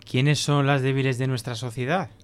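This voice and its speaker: A man's voice: mid-range voice, steady tone, moderate pace, smooth, lightly acted. A man playing a puzzled, earnest young pupil asking questions in a scripted audio drama. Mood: curious. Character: inquisitive, puzzled young male pupil questioning his teacher.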